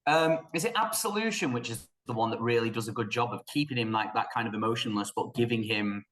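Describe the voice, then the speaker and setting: A man's voice: mid-range voice, fast, fairly bright tone. A man chatting casually as a panellist on a film podcast, recorded in an echoey room.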